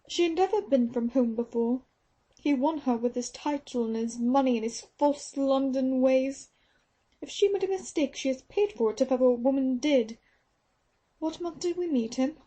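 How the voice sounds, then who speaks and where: A woman's voice: high-pitched, normal speed, mellow, pitched above the performer's own voice. A woman doing a stern, fiercely loyal nurse. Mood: bitter, protective. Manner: indignant, protective. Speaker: audiobook reader.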